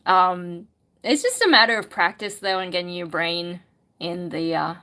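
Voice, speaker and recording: A woman's voice: fairly low pitch, normal speed, pushed and bright. A woman, a singer, chatting casually on a livestream, reading viewer questions aloud and musing with frequent ums. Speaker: singer and streamer answering chat.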